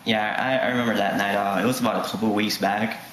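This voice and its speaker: A nineteen-year-old man telling a mock documentary story in a flat, hesitant way. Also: fairly low pitch, quick pace. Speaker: student interviewee. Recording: echoey room with faint noise.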